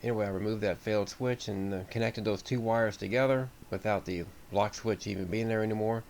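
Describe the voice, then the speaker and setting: A man's voice: fairly low pitch, fairly even intonation, brisk, vocal fry, a little mellow, a little hiss or hum. An American man giving an informal, folksy repair walkthrough as a radio hobbyist, thinking aloud without a script.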